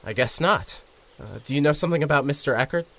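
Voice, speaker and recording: An actor playing an awkward, wry radio host turned investigator, narrating an audio drama in the first person with some hesitancy. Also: male voice, a little high, lively intonation, fast, fairly bright tone, dry recording. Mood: anxious, questioning.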